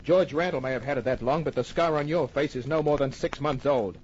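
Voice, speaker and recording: An actor playing a tough, wry private detective in an old radio crime drama, laconic and dry, heard on an old muffled recording. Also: male voice, on the high side, a little fast, a little mellow, a little hiss or hum, close to an everyday voice. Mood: dry, probing. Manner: laconic, dry, hard-boiled.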